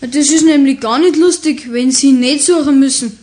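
Young Bavarian lad voiced by a woman, high pitched, skeptical yet polite and a bit shy, radio drama. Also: moderate pace, mellow, lightly acted. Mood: eager. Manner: polite, doubting dialect talk. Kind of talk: radio play acting.

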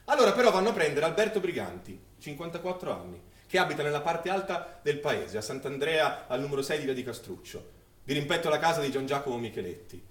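Anguished, high-voiced male storyteller, echoey stage. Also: sing-song, fairly bright tone. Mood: grave. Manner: declamatory, poetic, deliberate emphasis. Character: anguished male storyteller recounting wartime atrocities. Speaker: stage actor narrator. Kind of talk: dramatic theatrical narration of a wartime massacre. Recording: echoey stage, distant mic, faint noise.

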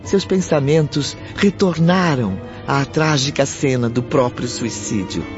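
A man delivers solemn scripted narration for a Brazilian radio drama. His voice sits in the middle range. Clear music plays under a slightly dull recording. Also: mid-range voice, unhurried, lightly acted.

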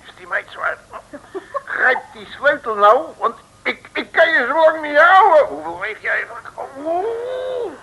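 An actor playing a grumbling, folksy detective who blusters and mutters in a 1960s radio serial. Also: male voice, very high-pitched, very animated, measured pace, creaky, bright, forceful tone, exaggerated voice, rough recording. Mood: animated. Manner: hesitant, colloquial blustering with muttered asides.

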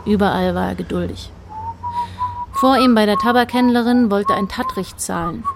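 A woman narrating a literary radio play in a measured, precise manner. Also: mid-range voice, huge pitch swings, noisy background.

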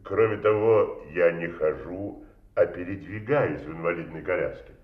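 An actor playing a genteel, evasive wealthy gentleman under suspicion in an audio drama, urbane and ironic in manner. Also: deep voice, normal speed, echoey room, recorded from a distance, phone-quality sound, natural voice. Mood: ironic, composed.

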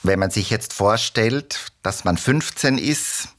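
An actor playing an earnest expert guest in a radio drama interview, telling things like a storyteller and drawing out key phrases. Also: male voice, on the low side, slow, fairly bright tone. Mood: earnest, emphatic.

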